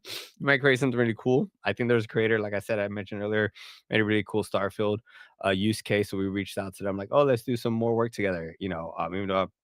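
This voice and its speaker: A man's voice: fairly low pitch, clean tone, no echo. A man from Puerto Rico, speaking English as a later language, answering questions on a livestream in a loose, chatty way with many fillers and restarts. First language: Spanish. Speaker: partnerships director. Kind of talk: casual livestream Q&A.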